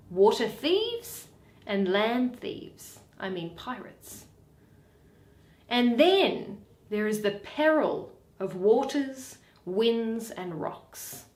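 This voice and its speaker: A woman's voice: on the high side, heavily acted. A woman voicing a shrewd, bitter male moneylender in a Shakespeare play, drawing out and weighing each phrase. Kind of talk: dramatic Shakespeare reading. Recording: roomy echo.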